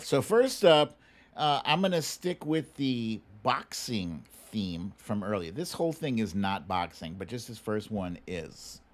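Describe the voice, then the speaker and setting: An American man hosting a livestream with casual, humorous political commentary in a mocking, conversational way. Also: on the low side.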